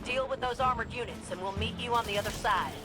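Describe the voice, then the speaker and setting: A woman's voice: fairly high pitch, quick pace, lightly acted. A woman playing a brash, plucky, folksy pilot in a video game. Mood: brash, upbeat. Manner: folksy, slangy, punchy. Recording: loud music over the voice.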